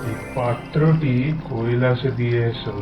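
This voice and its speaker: A man voicing a calm, wise god in a cartoon, giving measured, solemn instruction. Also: mid-range voice, close to an everyday voice. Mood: calmly commanding. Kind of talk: cartoon voice acting. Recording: echoey, muffled, loud music underneath.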